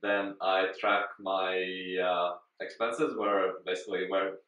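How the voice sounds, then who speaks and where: A young man from Latvia, a student, answering interview questions in a relaxed, matter-of-fact way. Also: sits low, slow pace. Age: young adult. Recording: roomy, distant, dull-sounding.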